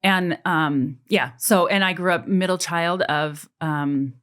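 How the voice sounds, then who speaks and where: A woman's voice: on the low side, takes it slowly, fairly bright tone, clear, resonant tone. A woman in her forties, a guest on a podcast, talking in an earnest, reflective way and often repeating words as she thinks. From United States, military family. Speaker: guest, adoptive mother and author. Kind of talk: casual podcast conversation.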